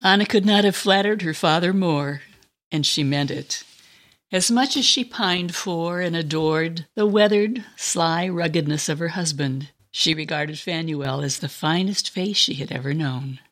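Lower-pitched woman narrating a dramatic story. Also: unhurried, fairly bright tone.

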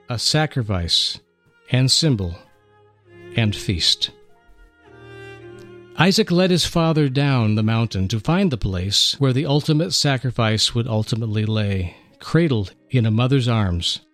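Male radio host, mid-pitched, earnest and steady, light music bed. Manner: measured, earnest delivery. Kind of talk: dramatic story reading and radio talk.

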